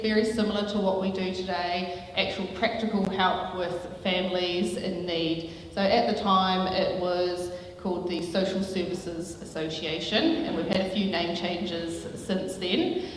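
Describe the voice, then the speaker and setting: A woman with a loud voice, presenting at a conference in a chatty, slightly nervous way. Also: fairly low pitch, slight background noise. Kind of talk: live conference talk. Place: large hall. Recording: echoey hall from far off.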